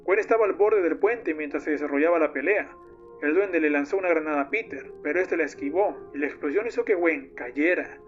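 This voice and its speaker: A man's voice: on the high side, a little fast, lightly acted. A man voicing a grieving, vengeful young man who tells a horror story like a solemn diary. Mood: somber, tense. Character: young man recounting his friend's monstrous downfall, grieving, vengeful. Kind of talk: scripted first-person horror narration. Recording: muffled lo-fi audio under loud music. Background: music.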